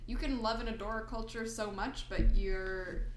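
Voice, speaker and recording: A woman's voice: fairly high pitch, steady tone, normal speed. A young woman co-hosting a podcast, opinionated and outspoken, in casual chat full of "like". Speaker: podcast co-host. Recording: roomy echo.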